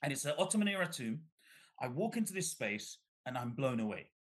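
A middle-aged man from Bangladesh, a guest author in an interview, telling his story in an animated way, often restarting and repeating words. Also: mid-range voice, brisk.

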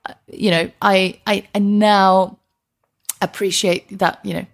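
Creaky-voiced Icelandic woman chatting as a guest. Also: medium pitch, flat delivery, slow. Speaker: interview guest, transport historian. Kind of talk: conversational podcast interview.

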